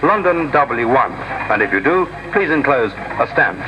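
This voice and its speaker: Animated male 1960s radio advert announcer. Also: mid-range voice, a little slow. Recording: dull 1960s radio reel-to-reel tape.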